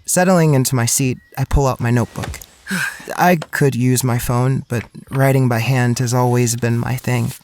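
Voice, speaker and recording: A man's voice: mid-range voice, fairly even intonation, moderate pace, darkish tone, slight background noise, lightly acted. Shy, earnest young man voiced by an actor. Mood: reflective. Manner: earnest, introspective, hesitant in dialogue. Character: shy, anxious, self-conscious young male student writer. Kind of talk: first-person audio drama narration and acting.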